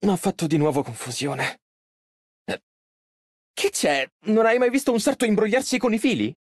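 Earnest young tailor from a video game, polite n expressive, gets flustered easy, pitch moves around a lot, dry sound. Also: male voice, moderate pace, dry recording. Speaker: voice actor. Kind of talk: video game character voice lines, Italian dub.

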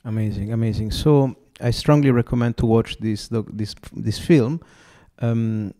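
A man with an Italian accent hosting a podcast interview, halting and searching for words as he asks questions. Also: fairly low pitch, warm tone. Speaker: host.

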